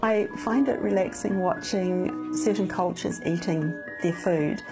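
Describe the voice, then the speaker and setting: Female interviewee with a lowish voice, speaking conversationally over a music bed. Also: a little slow, poor audio. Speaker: interviewee, ASMR fan. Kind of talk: interview talk.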